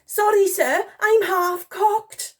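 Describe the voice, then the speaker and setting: A woman's voice: very high-pitched, fairly even intonation, takes it slowly, pitched above the performer's own voice. A woman voicing a timid, hapless male private in a storybook, plaintive and keen to prove himself. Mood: dejected.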